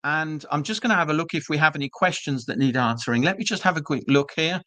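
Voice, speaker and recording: A man's voice: fairly high pitch, quick pace, bright, forceful tone, no room sound. A British man presenting an online webinar for a business school, chatty and reassuring with frequent ums and uhs, speaking over a video call. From Britain.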